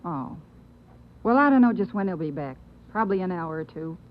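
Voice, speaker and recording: A woman's voice: on the low side, lively intonation, brisk, natural voice. An actress playing a guarded, curt woman answering the door, in 1940s radio acting. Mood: wary. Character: wary woman at a suspect's door. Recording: tinny old radio broadcast.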